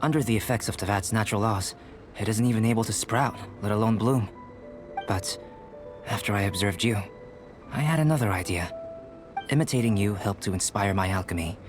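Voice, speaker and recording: Man as a calm, scholarly game alchemist. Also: lowish voice, lightly acted. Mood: earnest, musing. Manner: measured, formal, thoughtful. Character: calm, scholarly young male alchemist, polite and curious. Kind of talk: English video game character dialogue. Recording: music behind the voice.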